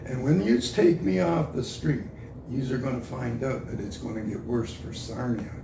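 An older man with a Canadian accent answering interview questions in a halting, deliberate way, often repeating himself. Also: medium pitch, expressive, creaky. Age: older, 60s or above. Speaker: street preacher being interviewed. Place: indoor room with a couch. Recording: roomy, distant mic, noisy.

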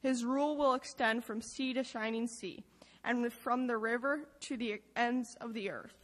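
A young woman reads scripture aloud in worship. Her voice is bright and on the high side, carried by the long reverb of a hall. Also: steady tone, moderate pace. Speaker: scripture reader. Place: church lectern.

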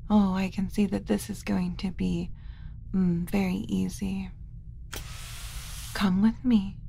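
Woman playing a slow, soft-spoken motherly alien. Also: medium pitch, level tone, creaky, slight background noise. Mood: tender, curious. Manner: coaxing, slow, motherly, talking as if to a small child. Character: gentle doting female alien mothering a captured human. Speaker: ASMR roleplay performer. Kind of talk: ASMR roleplay, soft-spoken with frequent whispering.

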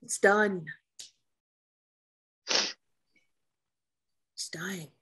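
An actress in a dramatic script reading, playing a female naval officer who is a steady, protective caretaker, with a breathy, husky voice. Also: mid-range voice, extremely slow, exaggerated voice. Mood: challenging. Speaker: actress at a table read.

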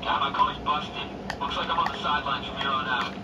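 Actors playing gruff cops yelling on the radio, game sound off a tv, phone filming across the room, loud noise behind. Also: mid-range voice, steady tone, a little fast, echoey room, distant mic, natural voice. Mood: urgent. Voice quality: terse, tough cop talk over radio. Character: gruff pursuing police officers, mixed crew. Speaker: police radio chatter in a racing game. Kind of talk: game police radio barks. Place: living room, TV speakers. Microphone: phone camera filming the TV. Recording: game audio played from a TV, filmed from across a noisy room.

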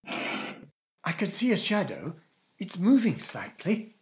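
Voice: medium pitch, huge pitch swings, slow, full character voice. An actor playing Gerald's part as an elderly, wry and fretful husband in a radio comedy. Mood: puzzled. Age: older.

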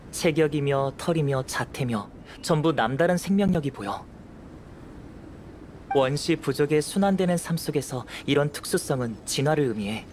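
An actor voicing a calm, scholarly young male alchemist in a game, soft-spoken and analytical, with music playing behind. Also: a little high, slight background noise, close to an everyday voice. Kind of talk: game voice acting.

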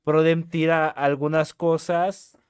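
A man's voice: fairly high pitch, flat delivery, slow pace, a little mellow, smooth, no echo, studio quality. A young man chatting casually as a co-host analyzing rap bars. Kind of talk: casual chat. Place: small home studio.

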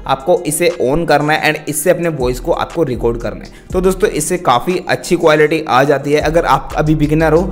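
A young man talks to his viewers like a tech vlogger giving advice. His voice sits a little higher than most men's. He speaks in an explanatory, direct way, with faint music behind him. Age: young adult. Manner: instructional, addresses viewers repeatedly. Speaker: tech video creator and host. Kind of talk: direct-to-camera product advice vlog. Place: small decorated indoor room. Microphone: wired lavalier held in hand. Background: background music.

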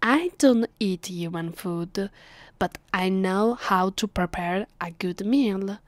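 A woman with an Italian accent playing a haughty, teasing ancient vampire mistress in a soft, half-whispered ASMR roleplay, condescending and commanding. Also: mid-range voice, slow pace, no room sound, lightly acted. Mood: impatient, commanding. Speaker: voice actress. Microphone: close, dry microphone.

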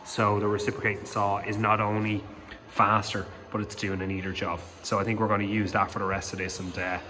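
A man's voice: lowish voice, brisk, slight background noise. A man in his thirties with an Irish accent, casually explaining a renovation job with frequent ums. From Ireland. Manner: relaxed, hesitant with frequent ums. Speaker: home renovation vlogger. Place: large empty room under renovation, indoors. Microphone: phone camera held in hand. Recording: reverberant bare room with faint ambience.

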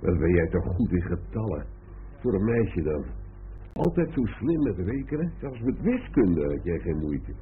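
A man's voice: deep voice, very animated, measured pace. Wry father on tinny old radio. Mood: dry, teasing. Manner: sardonic, teasing, rhetorical questions. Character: wry, possessive middle-aged father of a teenage daughter. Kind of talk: naturalistic radio play acting. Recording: tinny, band-limited old radio recording with noise.

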